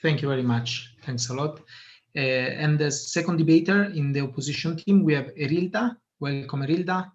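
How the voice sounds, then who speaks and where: A man with a slightly high voice hosts a debate over a video call. He halts often and fills gaps with ums and uhs. His audio comes through muffled and dull. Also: brisk. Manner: hesitant, many fillers. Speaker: debate moderator. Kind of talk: hosting an online debate.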